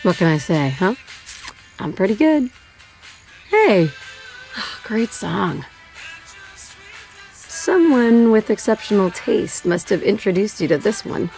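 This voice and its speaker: A woman playing a loving, firm and worried mother in an animated game, speaking gently but firmly. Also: medium pitch, slow pace, pauses often, soft background music. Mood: playful, warm. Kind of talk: animated game drama acting.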